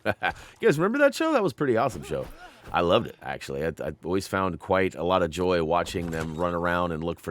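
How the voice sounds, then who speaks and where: A man narrating a video game walkthrough in a chatty, upbeat way with playful asides. He sounds a bit stuffy and nasal from irritated sinuses. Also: fairly low pitch. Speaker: gaming YouTuber.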